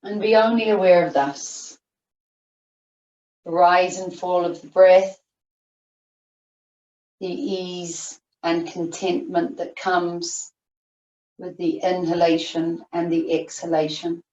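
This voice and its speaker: A woman yoga teacher leading a guided meditation, giving soothing, unhurried instructions with long pauses. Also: fairly low pitch, little pitch movement, darkish tone. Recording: roomy, distant, muffled video-call audio.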